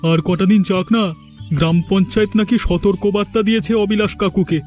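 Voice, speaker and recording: A man's voice: sits high, steady tone, a little fast, a little mellow, faint music behind, lightly acted. A man playing a smug, gossipy village man in a cartoon, with a knowing, sarcastic way of talking. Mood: knowing, indignant. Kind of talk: cartoon dialogue acting.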